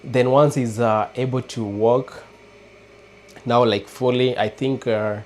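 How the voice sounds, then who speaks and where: A man with a Kenyan accent chatting casually with viewers on a livestream, hesitant and halting, often restarting words. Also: medium pitch, steady tone, darkish tone, slight background noise. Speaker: livestream host. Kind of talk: casual live chat with viewers.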